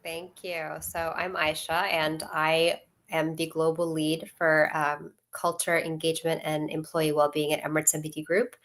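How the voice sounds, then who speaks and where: A woman panelist speaking steadily and deliberately on a video call. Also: low-pitched, flat delivery. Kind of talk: panel discussion.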